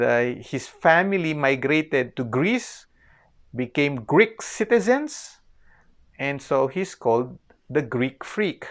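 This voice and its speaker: A man's voice: medium pitch, gravelly, a bit bright. A man with a Filipino accent giving an informal explanatory history lecture, repeating phrases for emphasis and often checking in with "okay". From Philippines.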